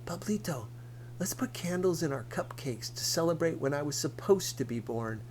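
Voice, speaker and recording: A man voicing a gentle, self-deprecating teenage girl in an audiobook, talking in a soft, coaxing, conversational way. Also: a little high, expressive, normal speed, natural voice. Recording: faintly noisy recording with hum.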